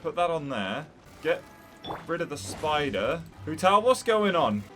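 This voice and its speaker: A man's voice: fairly high pitch, sing-song, a little slow, fairly bright tone, faint music behind. A man hosting a casual game playthrough, chatty and enthusiastic as he reacts aloud. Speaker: gaming video host.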